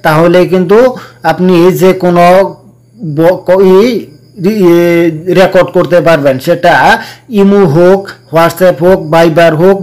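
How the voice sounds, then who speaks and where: A man's voice: high-pitched, darkish tone. A man hosting a tech tutorial, explaining things casually and repeating key points. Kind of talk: casual phone tutorial narration.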